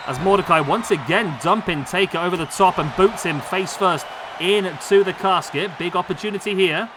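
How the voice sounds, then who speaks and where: A man with a hoarse, worn voice giving excitable play-by-play commentary on a video game match. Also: high-pitched, normal speed. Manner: excitable, bursts of exclamation. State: hoarse, losing his voice. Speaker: gaming YouTuber. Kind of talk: play-by-play commentary over a simulated wrestling match. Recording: clear background noise under voice.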